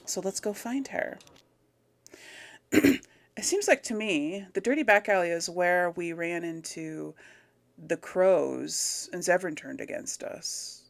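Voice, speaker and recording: A woman's voice: lowish voice, takes it slowly, creaky, no echo. A woman giving casual gameplay commentary, rambling and thinking aloud as she goes. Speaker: let's play host.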